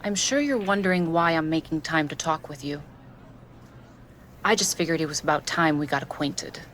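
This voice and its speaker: Villainous female wrestler, low voice, sly, taunting, menacing, seductive, game cutscene, faint noise. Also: moderate pace, fairly bright tone. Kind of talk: scripted video game cutscene acting.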